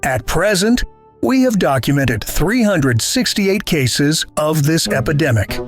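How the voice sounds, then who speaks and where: An actor playing a formal army doctor in an animated satire, reporting in a precise, detached way. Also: male voice, fairly high pitch, a little slow, faint music behind. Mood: clinical. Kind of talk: animated noir satire voice acting.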